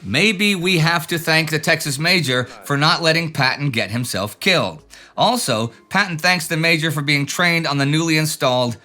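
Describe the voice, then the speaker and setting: A man's voice: a little high, animated, moderate pace, pushed and bright. A man hosting a show, reading out viewer letters and comments with dry, joking asides. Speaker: show host.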